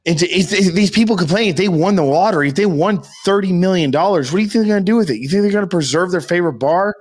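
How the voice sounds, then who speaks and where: A man's voice: high voice, racing. A man co-hosting a podcast in casual, sweary chat, often acting out imagined complaints. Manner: informal, heavy swearing. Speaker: podcast co-host. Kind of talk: casual chat with mocking quotes.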